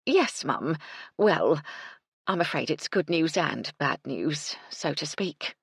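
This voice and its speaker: Woman putting on a fussing older housekeeper, flustered and homely, voice jumps up and down, a bit husky. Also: deep voice, animated, slow pace, dry recording, clean studio sound, exaggerated voice. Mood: worried. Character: bustling older female housekeeper, fretful.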